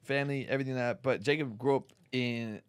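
A man's voice: mid-range voice, fairly even intonation, fast talker, clean tone, dry recording. A man hosting a casual podcast, interviewing a friend with blunt, sweary, joking interjections. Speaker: podcast host.

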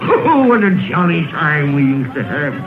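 Through a tinny old radio broadcast with music behind comes an elderly, remorseful miser. His highish voice swings widely as he exclaims and repeats himself with theatrical feeling. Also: sing-song, normal speed. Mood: joyful. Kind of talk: old-time radio drama acting.

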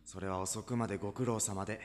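A man playing a frank, self-deprecating high school boy in a Japanese audio drama, casual and blunt. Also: steady tone, fast, natural voice. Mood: friendly.

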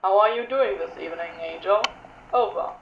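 A woman's voice: medium pitch, moderate pace, creaky, roomy sound, highs cut off, background noise, pitched below the performer's own voice, lightly acted. Crowley, a drawling demon, as a woman puts him on. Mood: teasing drawl. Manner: drawling, playful. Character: lazy drawling male demon, teasing. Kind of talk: podfic character voice.

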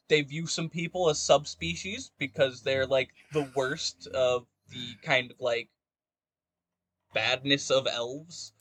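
A man's voice: a little high, fairly bright tone, dry recording. A man in casual, opinionated conversation as a podcast co-host talking fantasy gaming lore.

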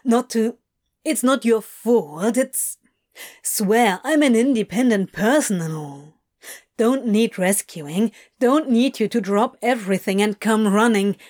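A woman's voice: fairly high pitch, a little mellow. A woman voicing an awkward, laid-back male demon who stammers and trails off. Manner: halting, mumbling, stammering.

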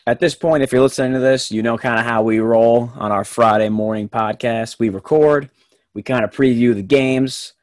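A young man hosting a casual sports podcast, relaxed and quick to laugh, with plenty of fillers. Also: mid-range voice, no room sound. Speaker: podcast host.

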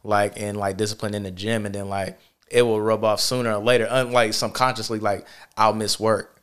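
A man's voice: lowish voice, flat delivery, a little fast, fairly bright tone, smooth. A man hosting a podcast in a loose, casual way, full of fillers and affirmations. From Charlotte, North Carolina. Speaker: podcast host. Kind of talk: casual conversation.

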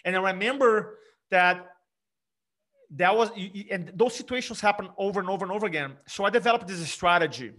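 A middle-aged man from Brazil with a Brazilian Portuguese accent, an energetic speaking coach talking emphatically in an interview. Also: high-pitched, fairly quick. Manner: energetic, emphatic, frequent restarts. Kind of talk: conversational interview.

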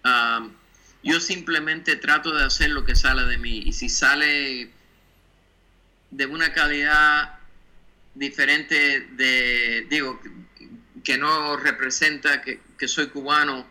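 Bright-voiced Cuban-born man, thoughtful. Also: medium pitch, fairly even intonation, slow, low-quality recording. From Cuba. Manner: thoughtful, drops English words into his Spanish. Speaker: guest designer. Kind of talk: casual interview talk.

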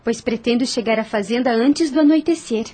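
Young heiress, radio drama, high voice, gentle, polite, formal, expressive. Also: female voice, brisk, breathy edge. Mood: polite, firm.

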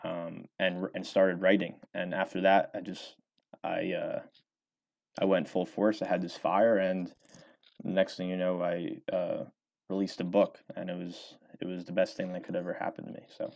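Low-voiced young man, rambling answers. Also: flat delivery, normal speed, creaky, a little mellow. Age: 20s. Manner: rambling, many fillers and restarts. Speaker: author and former college baseball player. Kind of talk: casual interview answers.

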